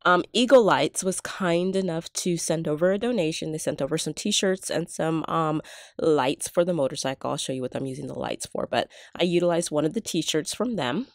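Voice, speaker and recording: A woman's voice: low-pitched, normal speed, no echo, clean studio sound. A middle-aged woman with a cold and a congested voice, chatting through a casual step-by-step gift-basket tutorial at home. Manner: chatty, explains step by step with fillers. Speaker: couponing vlogger. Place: home, filming a tabletop close-up.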